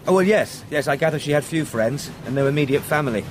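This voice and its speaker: A male actor plays a young English solicitor in a radio drama, courteous and formal with slight hesitation. His voice is fairly high, with noticeable vehicle noise behind it. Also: animated, fast, lightly acted. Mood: courteous, lightly amused. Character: polite, educated young London solicitor, sceptical and formal. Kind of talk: radio play acting.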